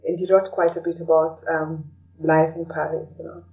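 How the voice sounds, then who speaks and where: A woman's voice: low-pitched, flat delivery, moderate pace. A woman being interviewed as a podcast guest, talking casually and hesitantly, thinking aloud with frequent "you know" tags. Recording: dull, lo-fi, distant from the mic.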